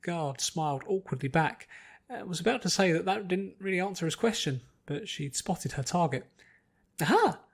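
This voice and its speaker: A man's voice: sits high, expressive, normal speed, creaky. A man reading scripted story narration as an audiobook narrator.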